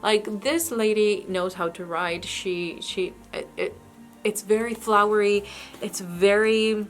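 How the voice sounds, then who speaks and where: A woman talks through her new books in a casual, chatty way. English is her second language, and she often restarts her sentences and hesitates. Her voice sits in a middle pitch range. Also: fairly even intonation, slow, soft background music. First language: not English. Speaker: book vlogger. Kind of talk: casual book haul chat.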